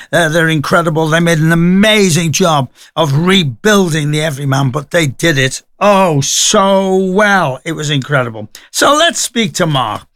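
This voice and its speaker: Bright, high-voiced older radio host. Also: male voice. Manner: chatty and enthusiastic. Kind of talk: radio interview.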